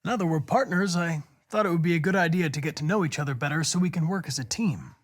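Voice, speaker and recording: A man with an American accent playing a polite, determined young android police investigator in a video game, speaking in a precise, formal, measured way. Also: a little high, lightly acted. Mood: earnest, probing. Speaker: actor voicing a video game character.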